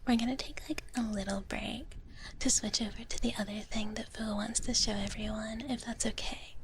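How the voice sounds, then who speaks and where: A woman voicing a gentle, shy dragon big-sister streamer, whispering breathily and haltingly in a soft ASMR chat. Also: a little high, a little hiss or hum, natural voice. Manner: hesitant, halting, soft-spoken, refers to herself in third person. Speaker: ASMR livestream host.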